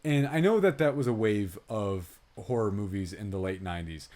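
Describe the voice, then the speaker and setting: A man's voice: fairly low pitch, moderate pace, darkish tone. A man co-hosting a film review podcast in a casual, slangy chat, telling things animatedly and quoting reactions in asides.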